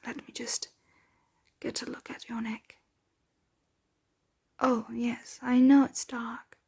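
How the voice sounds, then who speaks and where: A woman playing a doting, genteel vampire mistress in an ASMR roleplay, speaking softly and breathily in a soothing, possessive, coaxing manner. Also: on the high side, level tone, gravelly, husky, full character voice. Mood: gentle, pleased. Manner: slow, coaxing, whispery soft-spoken delivery. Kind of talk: ASMR roleplay, soft intimate close speech.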